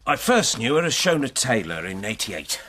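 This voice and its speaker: An actor in a radio drama playing a genial, wry, self-assured retired police detective. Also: male voice, medium pitch, sing-song, normal speed, sharp tone, a little hiss or hum. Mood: wry, reminiscing. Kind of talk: naturalistic radio drama acting.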